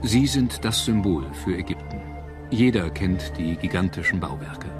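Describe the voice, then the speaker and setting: A man reading scripted documentary narration in a measured, solemn manner. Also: low voice, lively intonation, music in the background.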